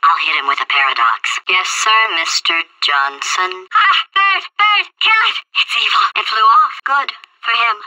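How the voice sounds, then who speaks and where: A woman voicing a sarcastic female computer AI stuck in a potato, with a robotic processed voice and deadpan insults. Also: mid-range voice, measured pace, few pauses, low-quality recording. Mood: agitated. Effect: robotic synthetic processing. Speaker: video game character. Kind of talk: game voice acting.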